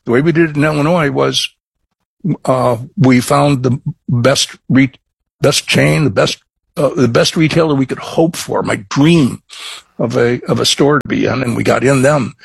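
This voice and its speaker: A middle-aged man from Chicago with a Chicago accent, chatting as a guest in an interview, halting with many restarts and fillers. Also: mid-range voice, vocal fry, breathy edge, no echo, low-quality recording. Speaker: craft distiller guest. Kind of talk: casual interview chat.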